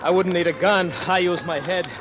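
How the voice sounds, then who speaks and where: An actor playing a shrewd, cynical convicted embezzler who narrates his crime in deliberate, dramatic 1940s radio thriller style. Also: high voice, fairly even intonation, a crowd in the background, lightly acted. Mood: tense, urgent. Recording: old radio drama with music behind.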